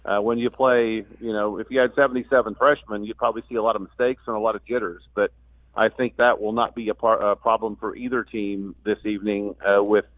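A man's voice: lowish voice, little pitch movement, a little fast, no echo. Man on a thin phone line. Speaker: radio play-by-play announcer as guest. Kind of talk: live sports talk radio interview.

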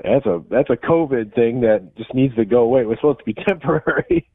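An American man calling in to a radio show, chatting casually in a halting, rambling way over the phone. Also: medium pitch, expressive, dry recording, phone-quality sound. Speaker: radio call-in listener. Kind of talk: casual chat.

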